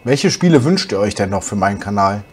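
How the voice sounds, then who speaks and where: A young man with a slight cold, hoarse, chatting casually as he plays a truck driving game for his channel. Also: on the low side, a little fast, slight background noise. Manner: relaxed, chatty, musing aloud while driving. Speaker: gaming channel host.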